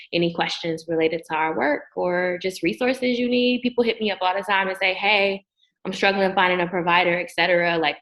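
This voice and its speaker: A woman speaking as a guest psychologist on a podcast, with a Detroit accent, animated and upbeat in casual conversation. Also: on the low side, rough recording. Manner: animated, upbeat, laughs often.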